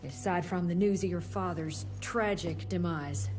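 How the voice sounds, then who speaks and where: An actress playing a composed, firm doctor in a video game, speaking formally and precisely. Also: female voice, on the low side, a little slow, a little mellow, faint music behind, natural voice. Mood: composed, reporting. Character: composed, firm female doctor advising an agency. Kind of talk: dramatic video game acting.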